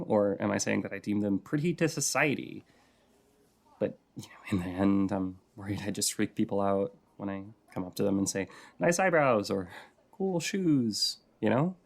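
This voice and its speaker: A man's voice: mid-range voice, creaky. A man playing a shy, adorkable young stranger in an intimate roleplay audio, talking in a hesitant, rambling, self-conscious way.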